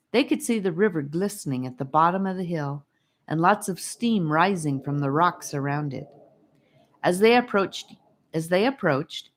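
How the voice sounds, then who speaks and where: Woman, audiobook narrator, children's story, low pitch, animated intonation, expressive reading.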